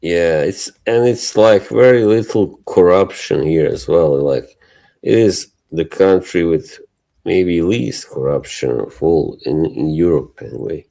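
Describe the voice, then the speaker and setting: Bosnian accent guy on a stream, real low voice, slow easygoing chat, chuckles a lot. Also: creaky, dark tone. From Bosnia and Herzegovina. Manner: laid-back, chuckles often, trailing filler words. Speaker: livestream co-host. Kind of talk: casual chat.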